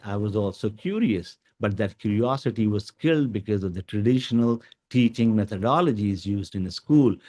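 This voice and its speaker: A man whose first language is Urdu gives an educational talk to teachers, with many pauses and fillers. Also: lowish voice, darkish tone. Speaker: guest speaker.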